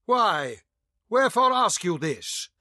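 A man's voice: sits high, sing-song, slow, bright, forceful tone, no room sound, clean studio sound, full character voice. An actor playing an indignant aging senator father, commanding and angry, in a dramatised audiobook. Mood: alarmed.

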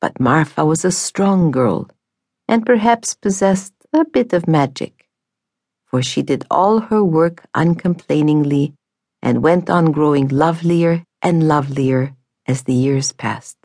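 Woman narrator, low and measured, dry studio read. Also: animated, a little mellow, no room sound. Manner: measured, storytelling. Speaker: audiobook narrator. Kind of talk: scripted audiobook narration. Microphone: studio mic.

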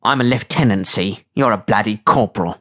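Man acting tough female navy subpilot, husky, blunt, curt, rough working-class accent, audiobook character. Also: on the low side, brisk, a bit bright. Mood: irritable. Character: tough, gruff woman navy subpilot, irritable.

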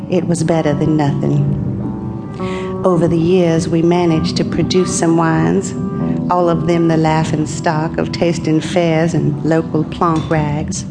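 An actress playing a discontented, scheming farmer's wife in an audio drama, with a deliberate, bitter delivery. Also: female voice, low voice, steady tone, gravelly, over loud music, exaggerated voice. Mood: bitter, confiding. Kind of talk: dramatic audio play with first-person narration.

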